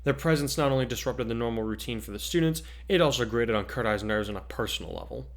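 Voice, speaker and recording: A man's voice: mid-range voice, animated, fast talker, roomy sound. A man with a mid-range voice works as host and narrator. He talks casually and also reads stories aloud with drama. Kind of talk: casual chat and dramatic story reading.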